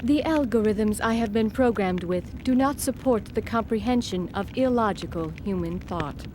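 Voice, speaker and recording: An actress playing a polite, literal female combat android in a video game, speaking in a measured, flat, formal way. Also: mid-range voice, noisy background, close to an everyday voice. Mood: impassive, courteous.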